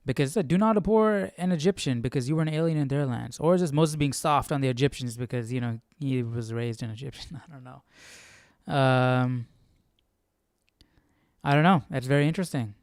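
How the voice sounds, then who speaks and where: A man's voice: mid-range voice, fairly quick, darkish tone, dry recording, clean studio sound. A man hosting a Bible study stream, talking through scripture casually and musingly, often checking in with rhetorical questions. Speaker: host of a Bible study livestream. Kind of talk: casual conversational scripture commentary.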